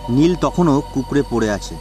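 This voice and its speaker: A man's voice: medium pitch, animated, normal speed. A man narrating a suspense horror story in a dramatic storytelling style. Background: background music.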